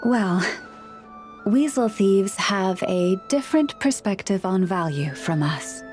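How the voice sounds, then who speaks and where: Game role: wise, gentle friend of beasts, mid-range voice, unhurried and reflective. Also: female voice, medium pitch, soft background music. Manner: calm, measured, softly reflective. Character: gentle woman who speaks with animals, wise and serene. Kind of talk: video game voice acting.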